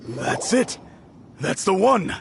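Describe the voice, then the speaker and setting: A man's voice: high voice, sing-song, slow pace, vocal fry, background noise, heavily acted. A man playing a polite, easygoing, wealthy innkeeper in an anime-style game, courteous and earnest. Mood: excited. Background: game music.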